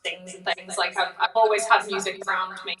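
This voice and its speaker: A woman's voice: medium pitch, flat delivery, fairly quick. Liverpool-accented young woman, echoey and distant. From near Liverpool, England. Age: young adult. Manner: soft-spoken, giggly, lots of ums. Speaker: guest songwriter. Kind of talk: casual interview chat.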